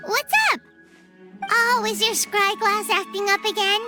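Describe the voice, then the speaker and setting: A woman voicing a tiny, perky, childlike fairy companion in a video game, squeaky and chatty. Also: steady tone, measured pace, music in the background. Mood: curious. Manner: perky, chirpy, refers to self in third person. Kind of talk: video game cutscene acting.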